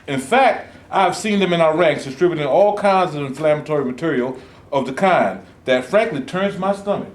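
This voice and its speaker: A man's voice: a little high, a little fast, natural voice. A man playing a cautious, principled protest movement leader in a staged reading, speaking formally and earnestly. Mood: firm, troubled. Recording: echoey room, live staged reading.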